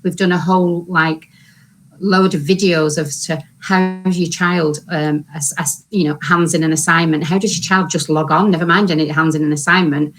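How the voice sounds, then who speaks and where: A woman's voice: lowish voice, fairly even intonation, normal speed, fairly bright tone, slight background noise. A woman working as a local authority e-learning consultant, speaking conversationally in an online panel with many "sort of" fillers.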